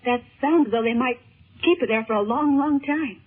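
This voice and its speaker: A woman's voice: on the high side, normal speed, slightly rough. A woman playing an anxious, tender-hearted teenage daughter in a 1930s radio serial, girlish, earnest and fretful. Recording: muffled, tinny 1930s radio recording.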